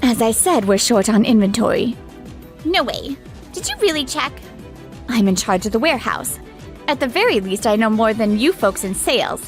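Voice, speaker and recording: A woman's voice: a little high, expressive, normal speed, soft background music. Woman playing blunt, irritated warehouse manager. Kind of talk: manga dub acting.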